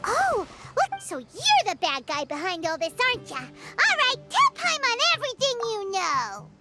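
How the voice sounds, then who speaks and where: A woman's voice: very high-pitched, wildly swooping pitch, normal speed, loud music behind the voice, exaggerated voice. A woman voicing a small, cheeky, childlike fairy companion in a video game, brash and exclamatory. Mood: accusing glee. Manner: brash, third-person self-reference, exclamatory.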